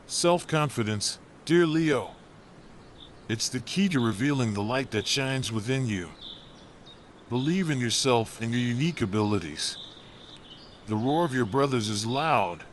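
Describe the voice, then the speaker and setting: A man voicing a wise, kindly old elephant in a children's storybook, speaking softly and encouragingly. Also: mid-range voice, animated, a little slow, a little hiss or hum, natural voice. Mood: gentle, reassuring. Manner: soft, gentle, encouraging.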